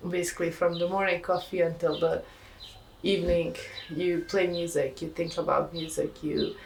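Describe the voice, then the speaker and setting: A woman's voice: on the low side, flat delivery, moderate pace, gravelly. A young woman from Bosnia and Herzegovina with a Bosnian accent, a student musician speaking earnestly in a documentary interview. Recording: distant mic, faint ambient noise.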